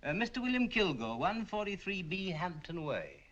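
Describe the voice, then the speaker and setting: An actor playing a timid, eager shop assistant in a 1940s film, speaking hesitantly. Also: male voice, high-pitched, very animated, moderate pace, fairly bright tone, a little hiss or hum. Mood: helpful. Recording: dull lo-fi old film soundtrack.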